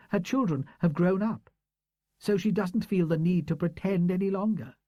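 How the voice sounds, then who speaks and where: A man's voice: high voice, mellow, no room sound, pitched above the performer's own voice. A man voicing a proud, bitter old schoolteacher woman.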